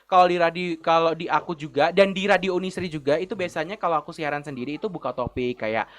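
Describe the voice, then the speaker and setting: A man's voice: on the high side, quick pace, fairly bright tone, slight background noise. A young man, a campus radio announcer chatting playfully as a guest. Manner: chatty, playful, acts out listener quotes. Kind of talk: casual chat.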